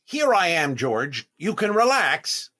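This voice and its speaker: An actor playing a vain, eager, easily flustered comedian in 1930s radio comedy style, with drawn-out exclamations and stammering. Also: male voice, a little high, big pitch swings, bright, forceful tone, slight background noise, full character voice. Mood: needy, indignant.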